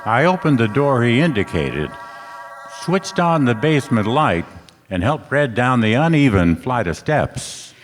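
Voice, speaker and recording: A man's voice: medium pitch, very animated, measured pace. A man playing a tough, wry private detective in an old-time radio play, both narrating and talking. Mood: tense narration. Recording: echoey hall stage recording, music behind.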